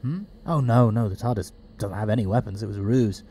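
A man playing a quick-witted, eccentric time traveller in an audio drama, wry and explanatory. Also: lowish voice, mellow, a little hiss or hum, lightly acted. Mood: wry, thinking aloud.

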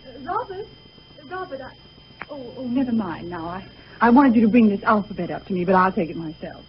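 A woman's voice: medium pitch, sing-song, a little fast, lightly acted. An actress playing a distracted middle-aged mother in 1930s radio style, hesitant and breaking off. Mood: fretful. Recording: muffled, noisy 1930s radio broadcast.

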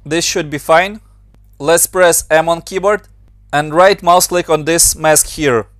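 A man's voice: a little high, fairly even intonation, measured pace, fairly bright tone, dry recording, faint music behind. Ukrainian-accented man, dry close voice. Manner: plain, step-by-step instructions with slightly non-native grammar. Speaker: motion designer and tutorial maker. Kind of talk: screen-recorded software tutorial.

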